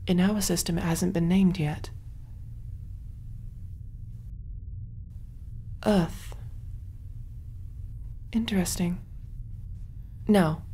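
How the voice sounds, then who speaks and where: A woman playing a kind, motherly alien surgeon in a soft-spoken ASMR roleplay, gentle and reassuring, breathy and often whispery, musing aloud as she works. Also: fairly low pitch. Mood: curious, gentle.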